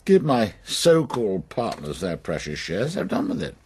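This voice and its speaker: A man's voice: fairly low pitch, huge pitch swings, moderate pace, gravelly, darkish tone, breathy edge, full character voice. An actor playing a stubborn, proud older English farmer and father in a naturalistic radio soap. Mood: bitter, stubborn. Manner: theatrical, sardonic, sometimes pleading.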